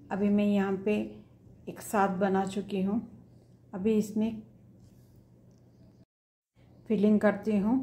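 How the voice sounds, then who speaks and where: A woman's voice: mid-range voice, warm tone. A woman hosting a cooking video, explaining a recipe step by step from her home kitchen and talking straight to the viewers. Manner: instructive, addresses viewers directly. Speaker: cooking channel host.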